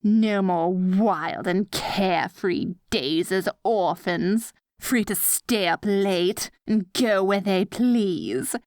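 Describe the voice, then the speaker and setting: Woman playing a slow-talking, dorky evil queen. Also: medium pitch, a little mellow, no room sound, studio quality, close to an everyday voice. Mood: gleefully villainous. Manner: mock-villainous grandeur with awkward, stammering asides. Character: dorky evil sorceress queen, theatrical, petty, secretly soft-hearted. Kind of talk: ASMR roleplay, addressing the listener directly.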